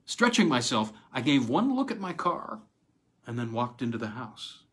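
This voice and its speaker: A man's voice: mid-range voice, very animated, moderate pace, a bit bright, breathy edge. Man reading an old weird tale. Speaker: reader of short fiction. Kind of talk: dramatic audiobook-style narration of an old weird tale.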